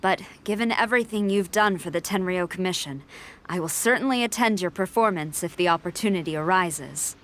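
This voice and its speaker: A woman's voice: on the low side, a little fast, slight background noise, natural voice. A woman voicing a stern, formal female military general in a game, speaking in a clipped, commanding way. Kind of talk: video game cutscene dialogue.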